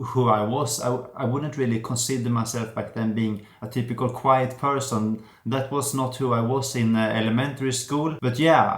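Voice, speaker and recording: Young man with a stammer. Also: medium pitch. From Sweden. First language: Swedish. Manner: stammers, with repeated sounds and restarts. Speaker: vlogger sharing his stutter. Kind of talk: personal reflective talk to camera. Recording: roomy echo.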